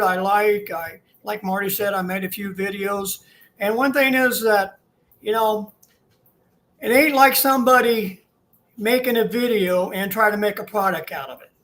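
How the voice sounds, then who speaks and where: A man in his sixties from Ohio, a guest telling his own story in a plainspoken, halting, folksy way over a video call. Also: high voice, fairly bright tone. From Ohio, USA. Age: 60s.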